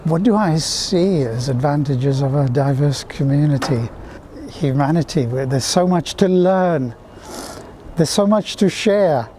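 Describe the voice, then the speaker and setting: Reflective man from Fiji, mellow tone. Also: a little high, lively intonation, slight background noise. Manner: reflective, measured. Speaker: interviewee. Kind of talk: recorded interview answers.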